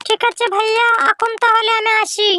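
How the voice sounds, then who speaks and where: A woman's voice: very high-pitched, level tone, normal speed, background noise. A woman voicing a polite, dutiful young village woman in a cartoon. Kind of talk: cartoon dialogue.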